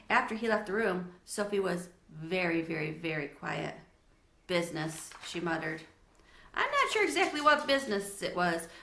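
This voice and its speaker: Bright-voiced woman reading a storybook aloud. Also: mid-range voice. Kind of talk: expressive children's storybook read-aloud with asides to listeners. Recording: echoey untreated room.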